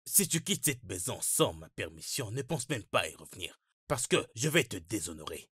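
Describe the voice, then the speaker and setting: Man acting a domineering, sharp, rough-voiced father. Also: lowish voice, big pitch swings, normal speed, dry recording, studio quality, full character voice. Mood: stern, commanding. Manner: domineering, confrontational. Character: stern, menacing middle-aged father forbidding his daughter's romance. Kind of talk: dubbed melodrama acting.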